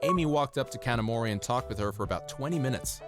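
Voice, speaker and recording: A man's voice: mid-range voice, expressive, fast, lightly acted. A man playing an ordinary young office worker and loyal boyfriend, narrating and acting in a manga dub over music, earnest and easily exasperated. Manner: earnest, exasperated when provoked. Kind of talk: dubbed manga narration and dialogue.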